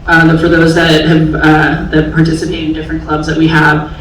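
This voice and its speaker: A woman's voice: low voice, monotone, normal speed. A woman in her forties from the Bay Area, a special education teacher telling her own story candidly to an audience, with many fillers. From San Francisco Bay Area, US. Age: 40s. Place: large auditorium stage. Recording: echoey hall from far off, faint noise.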